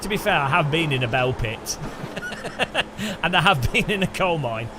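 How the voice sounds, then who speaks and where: A lively man with an English Midlands accent, streaming sim racing and bantering with chat in a jokey way. His voice sits fairly high and swings widely in pitch, with faint music underneath. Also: normal speed. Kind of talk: live-stream commentary while gaming.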